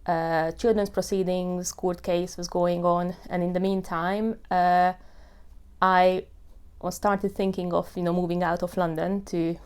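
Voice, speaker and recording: This is a woman in her thirties telling her own story in English, in an earnest, unhurried way, with plenty of "you know" and "uh". Her voice sits fairly low and sounds smooth and clear. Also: level tone, darkish tone. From Hungary. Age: 30s. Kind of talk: personal testimony.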